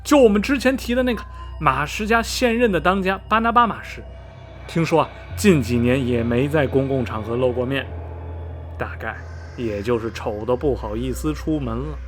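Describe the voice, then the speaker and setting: A man's voice: mid-range voice, normal speed, soft background music, lightly acted. An actor playing a gossipy middle-aged small-town man in a radio drama, skeptical yet repelled, sighing and drawing out his phrases dramatically. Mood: disgusted, ominous. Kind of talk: radio drama acting, animated storytelling.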